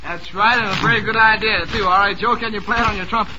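A man's voice: sits high, fairly even intonation, fast. An American man hosting a 1940s radio quiz show with jovial, chatty, showman-like patter. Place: radio studio. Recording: noisy vintage radio broadcast recording.

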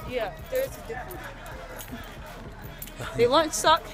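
A woman's voice: sits high, expressive, takes it slowly, fairly bright tone. A teenage girl banters in a blunt, slangy way. She is caught beside a handheld camera while out and about, with music and street sounds behind her. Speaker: vlogger's sister. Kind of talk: casual banter. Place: on the move, outdoors on streets and aboard transit. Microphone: off to the side of a handheld camera.

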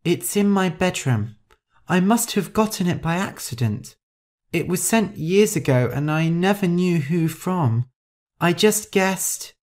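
A woman's voice: deep voice, expressive, takes it slowly, darkish tone, voice pushed up, exaggerated voice. A woman voicing a timid, frightened, apologetic young woman in an audiobook story, speaking hesitantly. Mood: meek, apologetic. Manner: hesitant, faltering.

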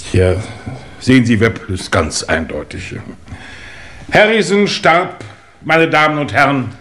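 An actor playing an authoritative English chief inspector in a 1950s German radio play, official and matter-of-fact. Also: male voice, medium pitch, wildly swooping pitch, slow, fairly bright tone, a little hiss or hum, full character voice. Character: brisk, authoritative male Scotland Yard chief inspector.

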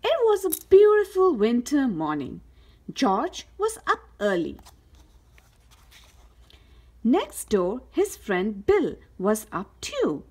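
A woman reading a children's picture book aloud as a storyteller, with careful, expressive delivery. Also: a little high, slow pace, long pauses, husky. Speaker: host of a read-aloud story channel.

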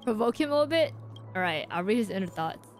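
A woman's voice: medium pitch, big pitch swings, fast talker. A woman livestreamer reacting casually and slangily to a game, with game music behind her. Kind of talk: casual gameplay reaction chat. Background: video game music.

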